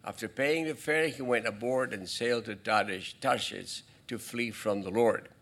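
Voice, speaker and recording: A man of middle pitch preaches to his church, stopping and restarting as he goes. He breaks off now and then to speak straight to the congregation, and his voice sits right in the usual range for a man. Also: fairly bright tone. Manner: halting, with frequent restarts and asides to the congregation. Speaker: pastor. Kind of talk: sermon. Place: church sanctuary.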